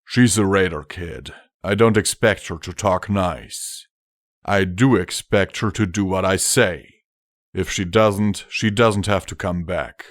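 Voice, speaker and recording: A man voicing a weary, caring father pony in a storybook, speaking in a measured, quiet, gentle way. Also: sits low, dry recording, clean studio sound. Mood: stern, patient.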